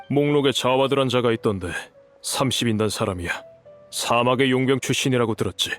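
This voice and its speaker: A man voicing a dignified, gentle older merchant father in a video game, speaking in a measured, formal, remorseful way. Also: mid-range voice, close to an everyday voice. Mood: grave, resigned. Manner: measured, formal elder speech. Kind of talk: dubbed video game acting.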